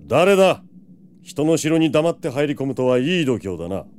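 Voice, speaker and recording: A man acting a proud, gruff yet protective vampire lord in an audio drama, brusque and self-assured. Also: fairly high pitch, sing-song, moderate pace, darkish tone, slight background noise. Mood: cool, defiant.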